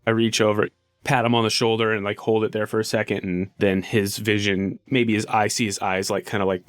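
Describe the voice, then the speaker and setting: A man playing a tabletop game, casually and haltingly describing his character's actions as he pieces them together. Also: on the low side, no room sound, clean studio sound.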